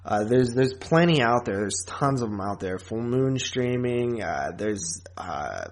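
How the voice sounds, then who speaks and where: A man's voice: mid-range voice, animated, unhurried, a little hiss or hum. A man hosting a podcast in casual chat, rambling along informally and chuckling often. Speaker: podcast host. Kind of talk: casual chat about horror movies and podcasting.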